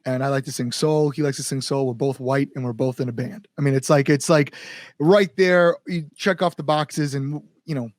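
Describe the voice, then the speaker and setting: An American man chatting casually as an interview guest, telling stories in an animated, informal way. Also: mid-range voice, moderate pace, dry recording, studio quality. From Chicago area, United States. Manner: animated storytelling, quotes people, frequent "like", informal swearing. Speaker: band singer, interview guest. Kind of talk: casual podcast conversation.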